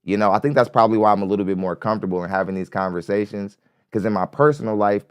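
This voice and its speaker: Man, podcast host, light voice, rambling, cursing, clear tone, dry studio sound. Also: lowish voice, rapid-fire, no echo. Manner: rambling, repeats himself, curses freely. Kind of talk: casual commentary on a clip. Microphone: studio mic.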